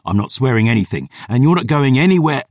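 A hard-bitten fighter, acted by a male audiobook narrator, fierce and stubborn. He speaks bluntly and gruffly in a lowish voice, with desperation under it. The recording is close and dry. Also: a little slow, dry recording. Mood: angry, desperate. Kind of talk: audiobook character voice.

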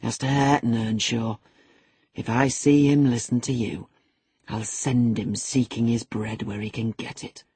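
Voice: mid-range voice, steady tone, moderate pace, husky, no room sound. A narrator voicing a savage, brooding middle-aged master of a house, harsh, imperious and threatening, in an audiobook. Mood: cold menace.

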